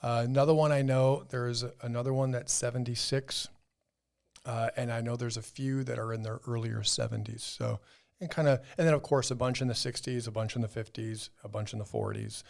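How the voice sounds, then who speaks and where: A man's voice: medium pitch, steady tone, fairly quick, creaky. A man in his fifties co-hosting a health podcast as a doctor, chatting casually and explaining in a rambling, hedging way. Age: 50s. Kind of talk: casual conversational health discussion.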